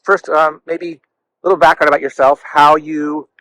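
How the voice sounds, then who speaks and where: A man's voice: a little high, level tone, moderate pace, fairly bright tone, low-quality recording. A man hosting a casual walk-and-talk interview, speaking in a gushing, effusive way. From California, lived in the Bay Area. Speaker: interview show host.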